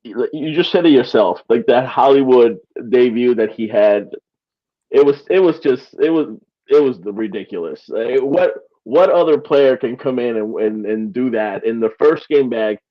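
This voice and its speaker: Man from Peru in halting sports chat. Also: medium pitch. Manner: halting, restarts often, laughs between points. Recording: slightly dull lo-fi audio.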